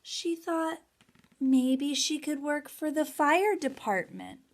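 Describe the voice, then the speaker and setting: Slow, high-pitched woman animating a children's story time. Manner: sing-song, animated, talking directly to young children. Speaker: teacher of young children. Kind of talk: children's story time lesson with songs and read-aloud.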